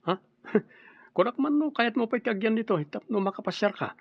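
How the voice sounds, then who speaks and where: A man's voice: high voice, animated, normal speed, dry recording, muffled recording. An Ilocano-accented man playing an easygoing family man in a radio drama. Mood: breezy.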